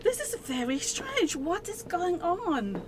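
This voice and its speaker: A woman playing a prim, puzzled newcomer with a deliberate, questioning manner in an amateur radio play. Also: very high voice, sing-song, full character voice. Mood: bewildered. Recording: faintly noisy amateur recording.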